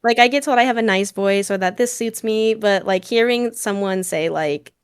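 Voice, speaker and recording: A woman with a smooth voice and a smiling delivery, chatting casually as an interview guest. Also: medium pitch, moderate pace, no room sound, studio quality. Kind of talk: casual chat.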